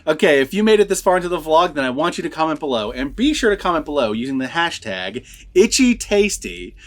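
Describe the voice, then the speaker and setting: A man's voice: fairly high pitch, very animated, brisk. A man chatting informally and enthusiastically, unscripted, as host of a horror film review vlog.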